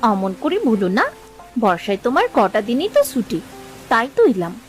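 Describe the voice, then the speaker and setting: A highish, very expressive voice for a young village wife acted by a woman in a cartoon. She is gentle, soothing and pleading over background music. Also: fairly quick. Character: gentle, placating young married daughter. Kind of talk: Bengali cartoon dialogue.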